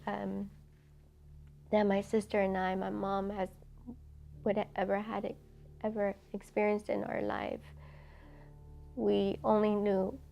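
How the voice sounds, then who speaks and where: A middle-aged woman from El Salvador tells her personal testimony in a halting, reflective way with many pauses. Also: mid-range voice, gravelly, warm tone. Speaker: ministry founder.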